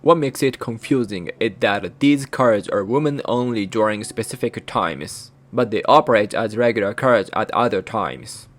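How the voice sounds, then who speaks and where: Japanese-accented man narrating calmly. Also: fairly low pitch, moderate pace, hardly any pauses. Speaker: channel host. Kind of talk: scripted explainer narration.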